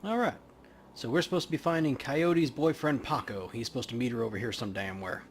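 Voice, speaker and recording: A man's voice: medium pitch, brisk, slight background noise. A man hosting a let's play, giving casual, laid-back gaming commentary as he thinks aloud.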